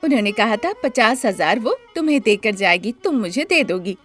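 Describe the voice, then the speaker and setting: A woman's voice: on the high side, animated, normal speed, a bit bright. A woman voicing a firm woman moneylender in a story, insistent and demanding, with music behind.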